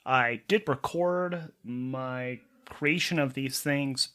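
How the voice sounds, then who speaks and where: A man with a voice a little on the high side talks through game setup as a show creator. He is informal and chatty, dropping offhand, self-deprecating asides as he narrates. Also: fairly bright tone. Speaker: solo tabletop game show creator and performer. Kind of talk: casual behind-the-scenes narration of game setup.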